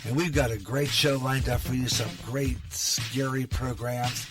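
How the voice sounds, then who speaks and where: Guy doing a radio show opener, sounds away from the mic, music clearly playing. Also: mid-range voice, steady tone, unhurried, distant mic. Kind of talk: scripted show opening.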